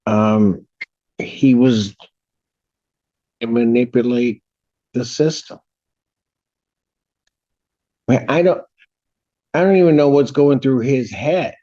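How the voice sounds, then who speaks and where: A man speaking as a judge from the bench, stern and emphatic, often halting and repeating himself. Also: mid-range voice, dark tone, muffled recording. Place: courtroom.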